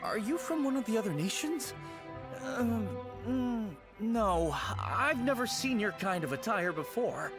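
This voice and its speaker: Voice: medium pitch, expressive, a little slow. A woman voicing a timid, panicky young male novice undertaker in a video game, stammering, jittery and pleading. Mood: uneasy. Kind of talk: English video game voice acting. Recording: clear background music. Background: game music.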